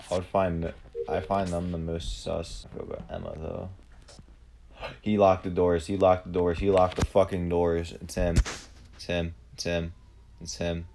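A man's voice: deep voice, vocal fry, a little mellow, a little hiss or hum. A man giving live gameplay commentary, blunt and profane, ranting at the other players. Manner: profane, blunt, quick to rant. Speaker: gamer streamer.

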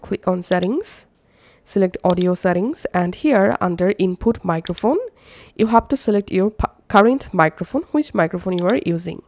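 A woman's voice: medium pitch, darkish tone, a little hiss or hum. Female tutorial narrator, mid-range voice, step-by-step instructional delivery.

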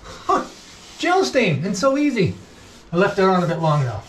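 A middle-aged man casually talking through his hands-on work to the camera, with halting pauses as he goes. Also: high voice, huge pitch swings, a bit bright. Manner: talks haltingly while working, with pauses. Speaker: vlog host, boat restorer. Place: inside a wooden boat in a shed and at its workbench. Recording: noisy workshop recording with clicks.

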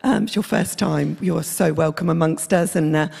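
A woman in her thirties with a hoarse, croaky voice, giving friendly, rambling church notices with many fillers into a handheld mic on a hall stage. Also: deep voice, moderate pace, a little mellow. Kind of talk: casual announcements. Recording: long hall reverb.

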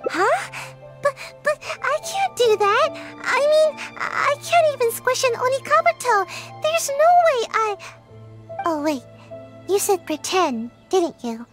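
A woman's voice: very high-pitched, sing-song, slow, fairly bright tone, soft background music, exaggerated voice. A woman voicing a sleepy, lazy little girl ninja who whines and pleads. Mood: flustered. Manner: drowsy, whiny, pleading. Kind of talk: game voice acting. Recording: game score behind.

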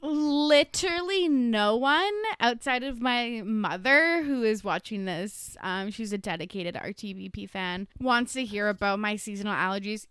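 A woman's voice: on the high side, fairly bright tone, clear, resonant tone, no room sound, clean studio sound. A young woman from Canada hosting a podcast, chatting casually with lots of laughs and "like", her voice a little nasal from allergies. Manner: giggly, says "like" a lot, swears casually. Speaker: podcast host. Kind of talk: casual chat. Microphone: handheld performance mic.

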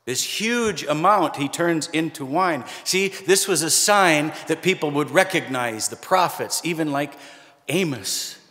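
A middle-aged man preaching a sermon from a pulpit, conversational and lightly humorous, pausing for emphasis. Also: on the high side, animated, bright, forceful tone. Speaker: pastor. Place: church sanctuary, standing at a pulpit. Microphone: pulpit microphone, far camera. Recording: long church reverb.

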